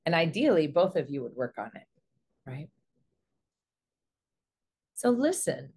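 Woman, 40s, fairly low voice, earnest and measured coach delivering instructive advice to camera. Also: very animated, a little mellow, slightly rough. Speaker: relationship coach and host. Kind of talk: explanatory advice talk to camera. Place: small indoor room.

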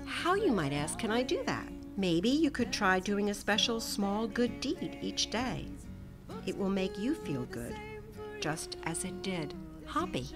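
Expressive woman reading a storybook over music. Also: mid-range voice, a little slow. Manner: gentle, expressive storytelling with soft whispered asides. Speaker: storytime reader. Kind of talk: reading a picture book aloud to children. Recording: music playing under the voice.

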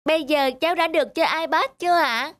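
A woman's voice: sits high, quick pace, fairly bright tone, dry recording. A woman voicing a polite, plaintive little boy in a cartoon, with a childlike manner. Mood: weary. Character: little boy, eager then weary and whining. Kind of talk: dubbed cartoon acting. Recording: music under the voice. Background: background music.

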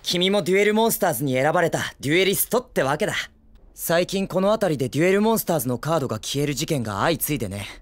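A man voicing a brash, excitable teenage boy hero in an anime film, with cocky, eager, boyish speech. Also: a little high, racing, close to an everyday voice. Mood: curious, friendly. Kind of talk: Japanese anime film acting.

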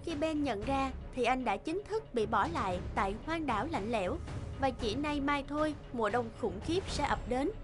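A woman's voice: high-pitched, expressive, normal speed. A woman reading scripted fairy-tale narration as the narrator of a cartoon. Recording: music bed behind the voice. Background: background music.

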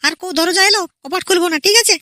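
A woman's voice: extremely high pitch, racing, sharp tone, dry recording, clean studio sound. A woman voicing a naive, anxious young village wife in an amateur comedy cartoon, speaking in a pleading manner. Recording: very noisy amateur cartoon audio.

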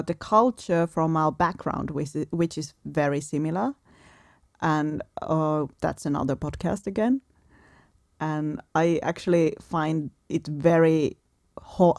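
Low-voiced woman, dry close sound. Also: darkish tone, no room sound. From Finland. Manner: earnest, reflective, laughs often. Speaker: podcast co-host. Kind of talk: casual chat.

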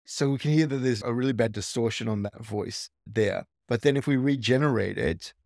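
A man's voice: medium pitch, brisk, gravelly, darkish tone, no room sound, clean studio sound. A man hosting a tech tutorial, casually walking through a screen recording and thinking aloud with small restarts.

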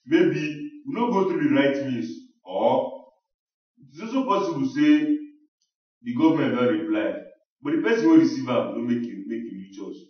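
An actor with a Nigerian accent playing a village king, a measured and formal leader reasoning with his people in a radio drama. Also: mid-range voice, lively intonation, close to an everyday voice. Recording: dull, echoey, distant from mic.

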